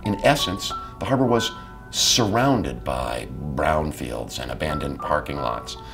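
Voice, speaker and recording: A man's voice: rumbling low, lively intonation, measured pace, gravelly. A man speaking conversationally in a documentary interview. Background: music.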